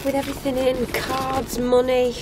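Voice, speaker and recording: A young woman played by an actress in a radio drama, riding as a hitch-hiker in a noisy vehicle. She is flustered and apologetic, with a halting, hesitant delivery. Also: high voice, flat delivery, lightly acted. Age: young adult. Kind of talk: naturalistic radio drama acting. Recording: clear vehicle noise behind. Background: car interior ambience, engine noise.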